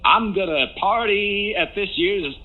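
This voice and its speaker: A man's voice: high-pitched, measured pace, phone-quality sound. A man playing a smug, petulant show announcer in a campy horror-comedy audio drama, speaking theatrically. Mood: indignant. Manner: theatrical, indignant. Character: gleeful, aggrieved male announcer, campy and petulant.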